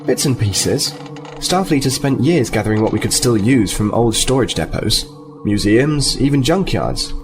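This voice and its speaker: Diplomatic lieutenant male actor, animated intonation, composed and explanatory, music behind, sci-fi audio drama. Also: mid-range voice, normal speed, lightly acted. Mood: composed, cordial. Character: earnest, diplomatic male starfleet lieutenant, liaison officer.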